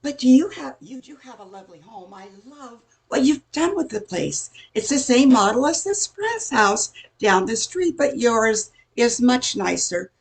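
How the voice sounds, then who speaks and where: An older woman playing a middle-aged busybody neighbor in an amateur radio play, chatty, insinuating and judgmental, with a husky, creaky voice. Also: fairly high pitch, very animated, unhurried, few pauses, exaggerated voice. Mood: gossipy, insinuating.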